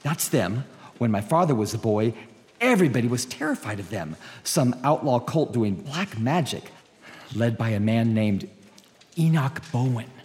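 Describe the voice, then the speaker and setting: A man's voice: mid-range voice, sing-song, moderate pace, a little mellow, close to an everyday voice. An actor playing a blunt, grudging local man in a radio drama, scornful and evasive. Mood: gossipy, scornful. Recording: reverberant hall, faint music.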